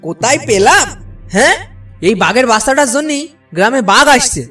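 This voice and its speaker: A man's voice: very high-pitched, lively intonation, fairly quick, sharp tone, recorded from a distance, soft background music, full character voice. A man playing a stern, alarmed village father in a Bengali cartoon, scolding and insistent. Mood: stern, warning.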